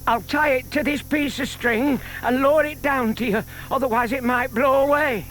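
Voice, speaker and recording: An actor playing a shy, scheming older gentleman who explains things patiently and politely in a children's audio drama. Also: very high voice, steady tone, normal speed. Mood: eager, polite. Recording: audible hiss from old cassette tape.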